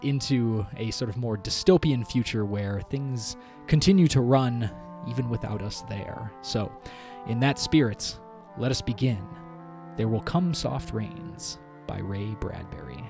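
A man's voice: mid-range voice, unhurried, gravelly, music in the background. A man speaking as a podcast host and narrator, presenting literature and audio drama.

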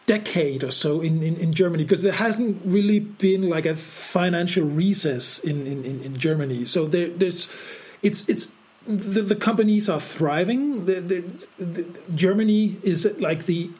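Halting Danish-born man, podcast co-host. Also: high voice, darkish tone. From Denmark. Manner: halting, many repeated words and restarts. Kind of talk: casual podcast chat.

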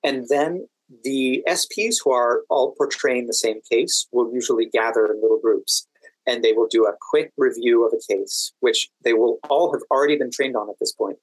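A man's voice: medium pitch, moderate pace, no echo, rough recording. A man from Washington DC talking as a podcast guest, explaining things casually and thoroughly. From Washington DC, United States. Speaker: podcast guest, working standardized patient.

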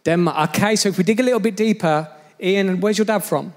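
A man's voice: sits high, brisk. A man preaching to his church in a chatty, joking, storytelling way. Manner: chatty, jokey storytelling, addressing the church directly. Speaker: pastor. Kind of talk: sermon.

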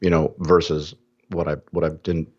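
A man co-hosting a podcast, unhurried and thinking aloud in casual chat. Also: rumbling low, little pitch movement, breathy edge. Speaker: podcast co-host, university academic. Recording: dull lo-fi sound.

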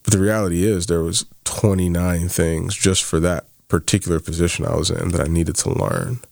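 Deep gravelly man in his thirties. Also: normal speed, darkish tone, no room sound, studio quality. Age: 30s. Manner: storytelling, quoting others, many fillers like "like". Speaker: podcast co-host. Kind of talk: casual faith-based podcast chat.

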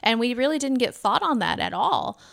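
A woman's voice: fairly high pitch, animated, fairly quick, gravelly, a bit bright. A woman co-hosting a podcast, chatting casually and emphatically in informal, repetitive phrasing. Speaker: podcast co-host. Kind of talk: casual chat.

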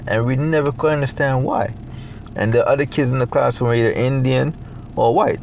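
Jamaican man, young adult, reflective and halting, gravelly low voice, faint background hiss. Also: steady tone, darkish tone, muffled recording. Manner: reflective, halting, many fillers. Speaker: photographer, interview guest. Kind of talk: casual interview.

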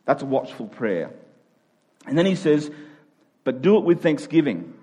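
A man's voice: fairly high pitch, very animated, moderate pace, dark tone, husky. A man preaching a conversational sermon, earnest, repeating key phrases for emphasis.

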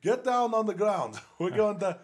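A man's voice: high voice, moderate pace. Rambling, hesitant man chatting music. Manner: rambling, hesitant, lots of fillers and restarts. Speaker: podcast co-host. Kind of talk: casual chat about punk music. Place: Salt Lake City, USA.

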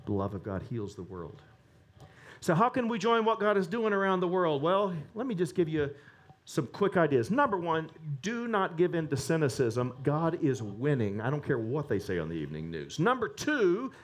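A man preaching a sermon, animated and rising to emphatic peaks. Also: fairly high pitch, normal speed.

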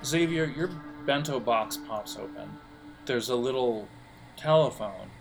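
A man's voice: medium pitch, gravelly. Man running a game over music. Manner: halting, thinking aloud. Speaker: game master. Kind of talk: narrating an improvised tabletop role-playing game. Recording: music playing under the voice.

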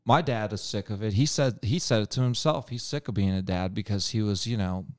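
A man in his thirties from the Cincinnati area, chatting casually as a guest, blunt and swearing freely with a deadpan edge. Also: lowish voice, brisk, no room sound, studio quality. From Cincinnati area, USA. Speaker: podcast guest, musician. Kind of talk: casual chat.

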